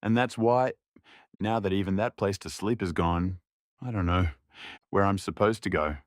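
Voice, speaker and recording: A young man narrates a scripted audio drama in a subdued, reflective inner voice. His pitch sits a little low, and the recording is close and dry. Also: fairly even intonation, moderate pace, no echo.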